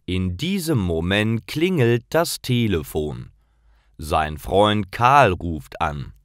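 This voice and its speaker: Male storybook narrator for learners, lowish voice, very slow and clear, lively intonation. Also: dry recording, studio quality. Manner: carefully articulated, expressive.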